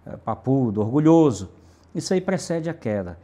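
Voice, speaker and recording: A man's voice: mid-range voice, big pitch swings, normal speed, mellow. A middle-aged man from Brazil's Northeast, a city councillor answering interview questions emphatically with lists and repetition.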